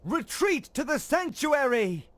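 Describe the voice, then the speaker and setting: An actor voicing a pompous, rather foolish guard commander in a video game, declaiming orders theatrically. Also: male voice, very high-pitched, sing-song, a little slow, a bit bright, full character voice. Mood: alarmed, commanding.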